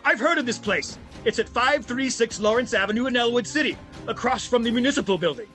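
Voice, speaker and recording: A man's voice: extremely high pitch, lively intonation, fairly quick, a bit bright, soft background music. A man playing a befuddled, mead-loving Viking warrior in a comedic scripted radio ad. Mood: dazed, eager.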